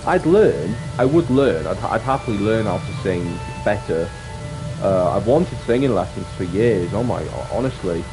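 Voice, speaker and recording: Man, deep voice, casual livestream chat, rambling and self-reflective, restated phrases, clear background music. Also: expressive, moderate pace, poor audio. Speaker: streamer and actor. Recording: music playing behind the voice.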